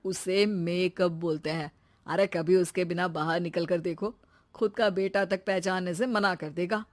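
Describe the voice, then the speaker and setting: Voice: mid-range voice, steady tone, unhurried, natural voice. A performer voicing a teasing, sarcastic middle-aged husband and father with a dry, mocking manner.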